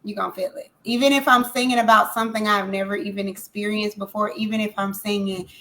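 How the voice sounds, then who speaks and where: A woman singer talks casually in an interview. She is emphatic and animated and repeats phrases for effect. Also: medium pitch, fast talker. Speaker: singer guest.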